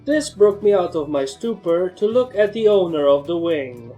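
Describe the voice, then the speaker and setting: A woman's voice: on the low side, animated, moderate pace, dark tone, faint music behind. A woman reading first-person fan fiction as a scripted story narrator.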